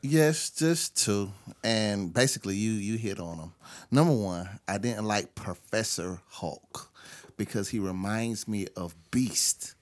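A man's voice: on the low side, slow. A man on a podcast panel chatting casually about a film in short, informal, emphatic phrases. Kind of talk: casual film review discussion.

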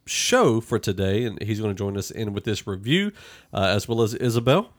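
A man with a slightly low voice hosts a podcast review. He talks informally and rambles a little, in the relaxed style of a group chat among friends. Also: moderate pace. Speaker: podcast host.

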